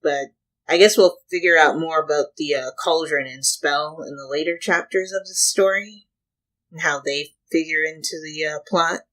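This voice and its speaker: A reaction host with a husky voice, commenting casually and thinking aloud in short, halting pieces. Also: medium pitch, a bit bright, low-quality recording.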